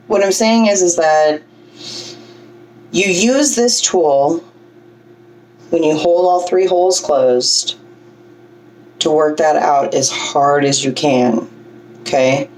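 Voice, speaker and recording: A woman with a low voice giving a casual, chatty breathing lesson, often checking in with "okay?". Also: darkish tone, far from the mic, low-quality recording. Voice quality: low singing voice. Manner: chatty, self-deprecating, coaching with frequent "okay?" checks. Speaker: vlogger teaching breathing. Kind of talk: casual instructional vlog.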